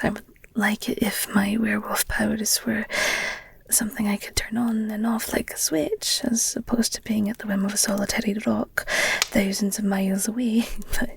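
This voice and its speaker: A woman playing an affectionate, candid werewolf girlfriend in an intimate ASMR audio roleplay, speaking tenderly and hesitantly in a breathy whisper. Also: mid-range voice, slight background noise, close to an everyday voice. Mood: thoughtful, gentle. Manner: slow, tender, hesitant, confiding. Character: affectionate werewolf girlfriend, gentle, candid, wistful about her past.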